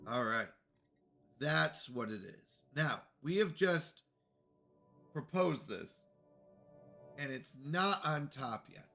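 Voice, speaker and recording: A man with a bright, forward voice talks casually through a live game session, sounding a little congested. He chats with viewers between moves, with faint music under him. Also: on the high side, expressive, slow pace, creaky, long pauses. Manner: chatty, greets chat viewers. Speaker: streamer.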